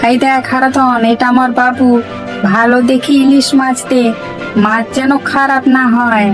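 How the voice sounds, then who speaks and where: A woman's voice: sits high, level tone, moderate pace, over music, natural voice. A woman voicing an eager, boastful male household servant in a cartoon, talkative and deferential. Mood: bossy. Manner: talkative, deferential to his employer.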